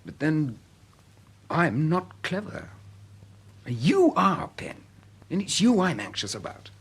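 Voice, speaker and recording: An actor playing a good-hearted, plain-spoken young student with an upper-class English accent in a period radio drama. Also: male voice, a little high, very animated, slow pace, slightly rough, heavily acted. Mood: rueful, anxious. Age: young adult.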